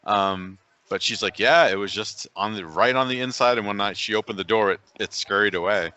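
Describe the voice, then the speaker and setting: Loud-voiced man on a dull call. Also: lowish voice, brisk, clear, resonant tone. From New York State, USA. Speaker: podcast co-host. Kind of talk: casual chat. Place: coffee shop.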